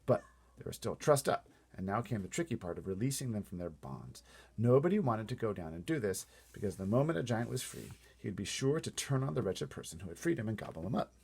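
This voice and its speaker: Husky, very animated male storyteller. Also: on the low side, fast. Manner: playful, breaks off to wonder aloud. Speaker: read-aloud storyteller. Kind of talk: animated storybook reading with casual asides.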